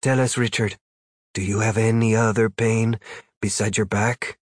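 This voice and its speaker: A man voicing a cool, probing female demon in an audiobook story, with a deep husky voice, a foreign accent and matter-of-fact questioning. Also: little pitch movement, moderate pace, dry recording, clean studio sound, lightly acted. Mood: dry, wry.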